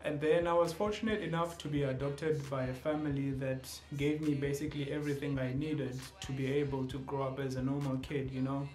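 A man's voice: medium pitch, steady tone, a little fast, few pauses, a little mellow, roomy sound, soft background music. A young man with a South African accent, casually introducing himself on his video channel with playful asides. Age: young adult. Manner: playful, joking asides, switches into other languages. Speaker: YouTuber. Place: small indoor room with shelves. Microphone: camera at close range.

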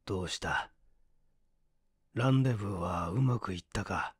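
A man acting a low, strained wounded man. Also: moderate pace, slightly rough, dry recording, clean studio sound. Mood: weak greeting. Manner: strained, terse, low muttering. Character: gravely wounded man in pain, terse and wry. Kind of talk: Japanese audiobook character acting.

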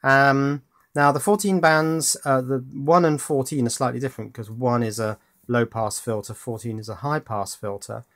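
Male electronics tutorial host, mid-pitched, informal, thinking aloud at the workbench. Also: steady tone, moderate pace, fairly bright tone. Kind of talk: casual hands-on electronics tutorial.

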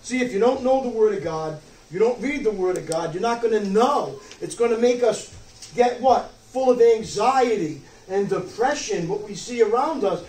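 An older man delivers a church sermon in a high, raised voice. He is emphatic and keeps checking in with short questions. The sound is distant and echoing, with some noise behind him. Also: lively intonation, normal speed, darkish tone. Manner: emphatic, rhetorical questions, check-ins like "okay?" and "you see?". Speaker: pastor. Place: church sanctuary, preaching from a wooden pulpit. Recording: roomy distant mic, some background noise.

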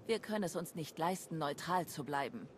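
Low-voiced, composed adviser. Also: female voice, animated, slight background noise. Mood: grave, composed. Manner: measured, grave. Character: composed, serious woman adviser, loyal yet independent. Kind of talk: video game cutscene acting.

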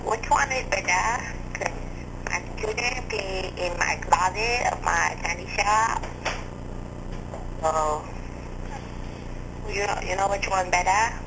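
A man acts out a fussy foreign parent who owns a little shop. The voice sits high and speaks haltingly, repeating complaints with stubborn insistence. It comes over a clearly noisy prank-call recording. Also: heavily acted. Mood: naive, inquiring. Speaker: prank caller.

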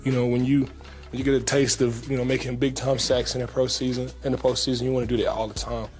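A man's voice: mid-range voice, fast. Casual young man at a press conference. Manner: relaxed, casual, many fillers and repeats. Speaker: pro football player at a press conference. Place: team press room, indoors. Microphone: table mic in front.

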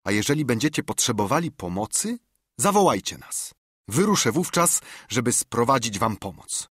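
Kindly doctor, voiced by a man: earnest, husky, dry close read for an audiobook. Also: mid-range voice, sing-song, moderate pace, a bit bright, no room sound. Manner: earnest, urgent pleading. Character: kindly earnest middle-aged male doctor. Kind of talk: audiobook character reading.

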